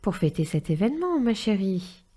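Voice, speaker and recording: A woman's voice: medium pitch, sing-song, moderate pace, warm tone, breathy edge. A woman voicing a kind, patient female family member who explains things in a French children's cartoon. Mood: affectionate.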